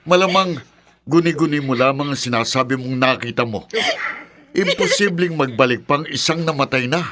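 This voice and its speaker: A man's voice: mid-range voice, moderate pace, fairly bright tone, close to an everyday voice. A man playing a middle-aged father of grown daughters in a radio drama, concerned and sceptical, reasoning and questioning. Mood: reasoning, doubting. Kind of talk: Filipino radio drama acting.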